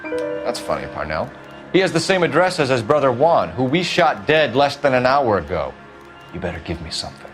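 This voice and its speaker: A man's voice: mid-range voice, very animated, moderate pace, music in the background, natural voice. An actor playing a stern, pressing, sardonic male police detective in a video game. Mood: cold, pressing. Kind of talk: acted video game dialogue.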